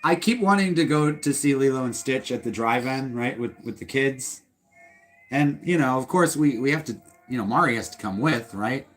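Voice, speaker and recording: Lively joking man. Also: medium pitch, normal speed, faint music behind. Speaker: co-host of a retro livestream. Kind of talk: casual live chat.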